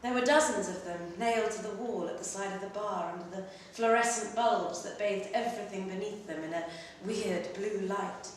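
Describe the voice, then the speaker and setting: A woman performing a literary short story at a live reading, in an expressive, measured way with pauses between phrases. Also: medium pitch. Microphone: distant microphone. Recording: very echoey room, distant mic, faint noise.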